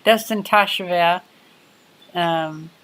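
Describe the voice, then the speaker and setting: A woman's voice: on the low side, slow pace, a bit bright, low-quality recording. A woman in her twenties chatting casually on a livestream from her workshop, rambling and full of fillers. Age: 20s. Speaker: livestream host. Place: home workshop.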